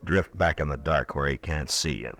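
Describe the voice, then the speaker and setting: A very low-voiced U.S. marshal in an old-time radio western. He is terse and authoritative, keeping a level head as he gives orders. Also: moderate pace, a crowd in the background. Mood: calm, firm. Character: steady, commanding U.S. marshal of the Old West.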